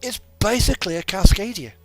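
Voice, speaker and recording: A man's voice: high-pitched, lively intonation, fairly quick. A man giving casual, rambling game commentary in a conversational, opinionated, mildly sweary way. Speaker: streamer playing a truck simulator. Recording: music playing behind the voice. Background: radio music.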